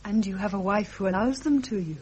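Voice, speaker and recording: An actress with a British accent playing a poised, flirtatious society beauty in a period drama. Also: female voice, medium pitch, a little fast, darkish tone, husky, lightly acted. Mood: coy teasing. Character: poised, teasing society beauty, a prince's lover.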